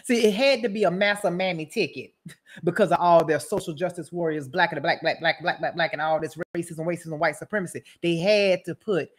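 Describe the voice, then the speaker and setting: A woman's voice: fairly low pitch, a little fast. A woman giving casual, profane roast commentary as a show host, brash and mocking, rambling and repeating her phrases.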